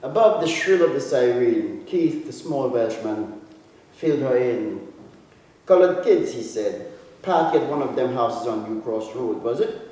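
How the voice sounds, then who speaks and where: A man reads literary fiction in a big echoing hall, picked up from some distance. His voice is mellow and soft-edged, and he phrases each passage deliberately, with dramatic pauses. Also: medium pitch, animated. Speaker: author reading own short story. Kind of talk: literary reading of prose fiction. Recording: echoey hall, distant mic, faint noise.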